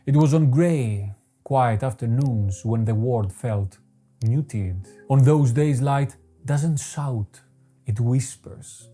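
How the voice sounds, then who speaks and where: Man, very mellow dark tone, measured scripted narration, soft guitar music behind. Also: mid-range voice. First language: Greek. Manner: measured, poetic, deliberate phrasing. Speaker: photography channel host. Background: faint guitar music.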